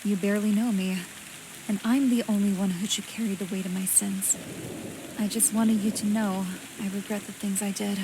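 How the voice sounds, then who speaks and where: An uneasy, remorseful woman played by an actress speaks in a medium-pitched voice with earnest, polite formality. It is video game drama, with clear rain noise around the words. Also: moderate pace, close to an everyday voice. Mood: contrite. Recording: steady rain noise over the dialogue.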